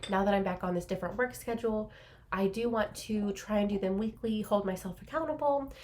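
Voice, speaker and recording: A woman's voice: medium pitch, fairly quick, darkish tone, a little hiss or hum. A young woman hosting a craft podcast from her small home office, chatting casually and rambling with lots of ums, a little congested, recorded on a laptop's built-in mic. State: congested from allergies. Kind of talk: casual solo chat to camera.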